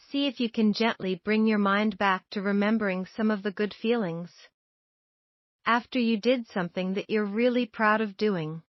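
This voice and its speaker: A woman's voice: medium pitch, no echo. Woman guiding meditation, dry muffled sound. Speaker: meditation guide. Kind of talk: scripted guided meditation narration.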